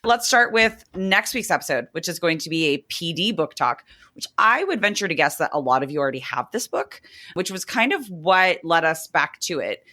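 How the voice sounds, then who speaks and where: A woman co-hosting a teaching podcast, chatty and rambling, her voice slightly hoarse from the end of the school year. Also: on the low side, normal speed. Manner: chatty, animated, rambling with fillers. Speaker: podcast co-host. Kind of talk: casual chat.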